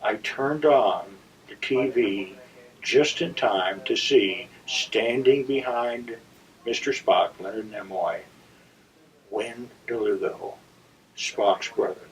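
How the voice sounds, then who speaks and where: A man hosting a story segment, telling a personal anecdote to viewers in a deliberate, theatrical raconteur's manner with dramatic pauses. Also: medium pitch. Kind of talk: anecdotal monologue addressed to viewers. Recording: slightly distant, dull lo-fi sound.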